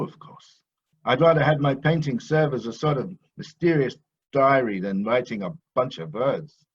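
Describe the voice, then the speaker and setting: An actor playing a proud, boastful Dutch painter in an audio play, grandiose and self-satisfied in manner. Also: male voice, medium pitch, slightly rough, muffled recording. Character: pompous, boastful old-master Dutch painter, touchy and proud.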